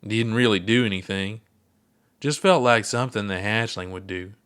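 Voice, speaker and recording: A mellow, unbothered old space explorer from a storybook reading, voiced by a man. He talks in an easygoing drawl with a low, settled voice, never getting worked up. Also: moderate pace, clear, resonant tone, natural voice. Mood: relaxed. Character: laid-back old alien explorer, unhurried and mellow.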